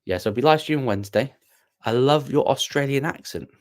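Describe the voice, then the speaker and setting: A man's voice: mid-range voice, fairly quick, a little mellow. A young man hosting a casual livestream, rambling and self-deprecating as he answers viewers with plenty of ums and restarts. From Wales. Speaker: livestream host.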